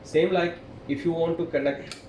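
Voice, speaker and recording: A man who is a non-native English speaker gives a hands-on technical demonstration, explaining step by step in a halting, repetitive way. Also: a little high, steady tone. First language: not English. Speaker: tutorial presenter, installer. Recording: echoey room, clearly noisy.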